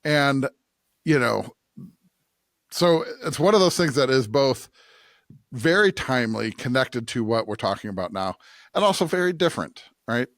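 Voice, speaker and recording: A man's voice: mid-range voice, animated, normal speed, long pauses, fairly bright tone, no echo, clean studio sound. A man hosting a Bible podcast with casual, unscripted commentary, repeating phrases as he thinks aloud. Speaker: podcast host discussing scripture.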